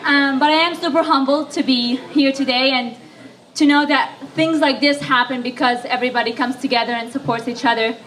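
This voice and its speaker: Chatty high-voiced woman, heard from afar. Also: steady tone, a little fast, a bit bright. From Libya. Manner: chatty, self-deprecating, with fillers. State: sick. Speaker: speaker. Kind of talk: speech to an audience. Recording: voice from far off the mic.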